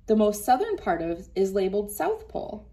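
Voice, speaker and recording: A woman in her thirties with a mid-pitched voice reads a children's book aloud in an instructive way. She breaks off for casual asides to her young audience, speaking indoors in a small room a little way from the mic. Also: big pitch swings, normal speed, warm tone. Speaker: children's read-aloud host.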